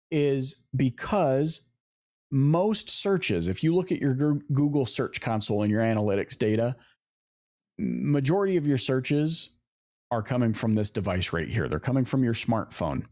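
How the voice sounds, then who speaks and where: A man hosting a podcast, talking casually to his audience about marketing in an emphatic way with asides and pauses for effect. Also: medium pitch, very animated, a little mellow. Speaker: podcast host. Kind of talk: casual marketing talk to viewers.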